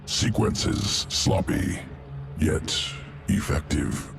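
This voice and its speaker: A male alien creature from a video game that reshapes living flesh, his voice extremely low and gravelly. He talks in slow, clipped fragments with cold, clinical detachment. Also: background noise, heavily acted. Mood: detached. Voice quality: gravelly, very deep. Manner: terse broken grammar, detached and clinical.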